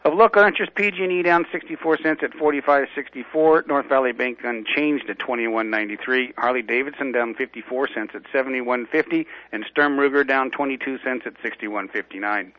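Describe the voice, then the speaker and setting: A man, a financial advisor, reading a stock market report in a brisk, steady way. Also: mid-range voice, highs cut off.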